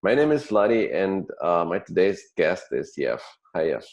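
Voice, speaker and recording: A man hosting a casual interview show, speaking in a somewhat halting way. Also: sits low, sing-song, a little mellow, lo-fi sound. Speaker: podcast host.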